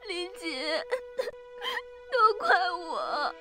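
Weeping, guilt-stricken girl played by a woman, very high voice, audio drama with music. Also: sing-song, very slow, heavily acted. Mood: tearful, guilty. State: crying. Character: distraught, guilt-ridden young woman. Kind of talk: amateur audio drama acting. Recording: music under the voice.

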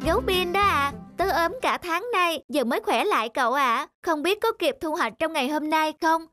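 Woman voicing a gentle high-voiced cartoon hedgehog. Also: animated, normal speed, dry recording, studio quality, faint music behind, heavily acted. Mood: weary, worried. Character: grateful gentle hedgehog recovering from illness. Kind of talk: children's cartoon voice acting.

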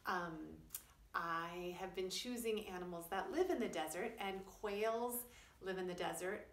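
Middle-aged female preschool teacher, animated storybook delivery with simple asides, recorded in an echoey room at a distance. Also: mid-range voice. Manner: expressive, explaining simply for young children. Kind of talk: children's read-aloud with explanatory asides. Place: small wood-panelled room indoors. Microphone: camera a few feet away. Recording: roomy, distant camera microphone.

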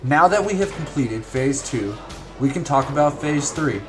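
A man reading a scripted instructional narration in a measured, formal, step-by-step way. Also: mid-range voice, lively intonation, over loud music.

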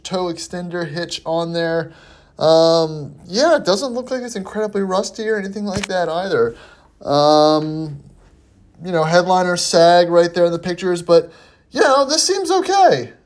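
A man hosting a car review video, talking casually and off the cuff as he thinks aloud. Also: on the high side. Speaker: host of a car auction review channel. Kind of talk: casual unscripted commentary.

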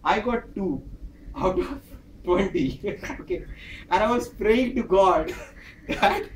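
A man in his thirties with an Indian English accent, a Hindi speaker, giving an animated prepared speech and acting out dialogue while pacing a large office training room. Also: high-pitched, slow pace, a little hiss or hum. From northern India. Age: 30s. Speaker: speaker at a club meeting. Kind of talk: prepared personal speech with storytelling. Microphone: far camera. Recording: echoey room, far from the mic.

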